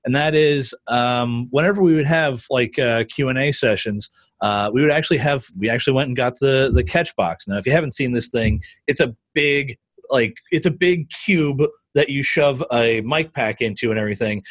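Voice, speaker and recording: A man's voice: mid-range voice, normal speed, no room sound. A man hosting a podcast in casual chat, loose and chatty, laughing easily. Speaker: podcast host.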